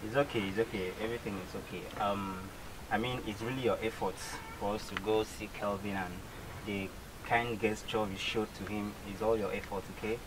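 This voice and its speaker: A man playing a company CEO in a skit, measured at first and then indignant and scolding, recorded with background noise. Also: fairly low pitch, steady tone, lightly acted. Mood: calm. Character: stern middle-aged male company CEO. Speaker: company boss. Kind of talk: amateur skit acting.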